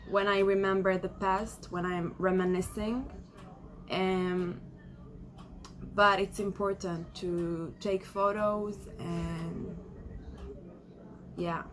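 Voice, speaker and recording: Israeli woman, 20s, native Hebrew speaker, slow and reflective vlogger, mid-range pitch. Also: a bit bright, slight background noise. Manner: reflective, searching for words with many fillers. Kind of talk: casual personal vlog monologue.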